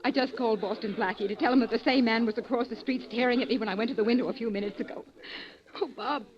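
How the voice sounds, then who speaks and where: Actress doing a jittery hunted young woman, old radio mystery, high voice, muffled noisy sound. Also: quick pace, close to an everyday voice. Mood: nervous, irritable. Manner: nervous, agitated, urgent. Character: frightened, snappish young woman being stalked. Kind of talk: old-time radio drama acting.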